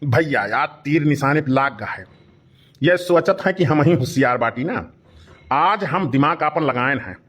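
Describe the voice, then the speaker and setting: A man's voice: on the high side, animated, a little slow, fairly bright tone. A man voicing a grumpy henpecked village uncle in a comedy cartoon, complaining and blustering with an Awadhi accent. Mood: scheming. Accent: Awadhi, eastern Uttar Pradesh.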